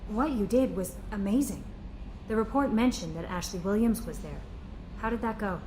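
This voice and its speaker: An actress playing an attentive, sympathetic young ship's aide in a video game, polite and gentle. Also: female voice, medium pitch, moderate pace, close to an everyday voice. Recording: noisy game ambience.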